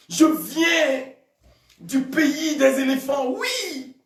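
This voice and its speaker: A man from Togo, preaching a charismatic sermon as a priest and often shouting with drawn-out emphatic phrases. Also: extremely high pitch. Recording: echoey room, voice from a distance.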